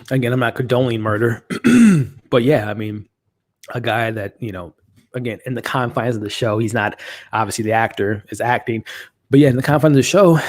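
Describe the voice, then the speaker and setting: Dude on a live stream, kinda stuffy and nasal, middle pitch, dry close mic, keeps restarting his sentences. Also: a little mellow, dry recording. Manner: rambling, many restarts and fillers. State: nasally from allergies. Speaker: host of a live watch-along stream. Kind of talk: casual live-stream commentary, reading chat.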